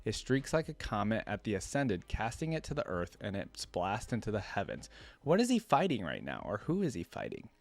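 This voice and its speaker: A man with a fairly low voice, recorded very dry with almost no room around it. He reads like someone sharing a story with viewers, in an informal and musing way. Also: huge pitch swings, brisk, gravelly, dry recording. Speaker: reaction video host. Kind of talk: reading a story aloud with casual asides.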